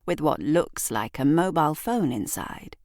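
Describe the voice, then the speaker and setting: A woman narrating a literary audio drama in a deliberate, dramatic storytelling manner. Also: sits low, sing-song, a little mellow, dry recording, clean studio sound.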